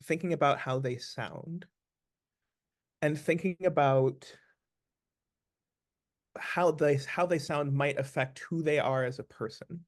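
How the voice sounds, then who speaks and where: Man, slightly high voice, actor as interview guest, casual, thoughtful, deliberate explainer.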